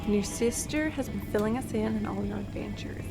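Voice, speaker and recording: A woman's voice: medium pitch, brisk, over loud music, close to an everyday voice. A woman playing a folksy, gracious, motherly Texan hostess in a sci-fi audio comedy.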